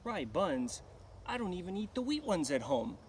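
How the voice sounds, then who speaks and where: A man's voice: high-pitched, very animated, normal speed, voice pushed up. A man voicing a picky, anxious young girl in a live folktale, with a pleading, exclaiming manner. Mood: disdainful. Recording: noisy live recording.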